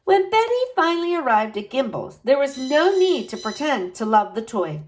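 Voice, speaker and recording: A woman reading a picture book aloud to children, with slow dramatic emphasis. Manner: expressive, slow dramatic emphasis with wide pitch swings.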